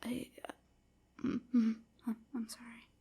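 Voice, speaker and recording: A woman playing a timid, submissive vampire slave in an intimate audio roleplay, hesitant and stammering, with a soft, whispery, apologetic delivery. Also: fairly high pitch, completely flat delivery, unhurried, heavily acted. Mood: anxious, evasive. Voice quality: soft, breathy, often whispery. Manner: hesitant, stammering, hushed and breathy.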